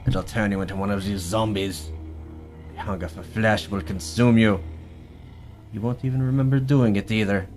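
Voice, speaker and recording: A man's voice: fairly low pitch, fairly even intonation, moderate pace, a little mellow, soft background music, natural voice. A man playing a menacing mad scientist villain in an audio drama, gloating and theatrical with mock politeness.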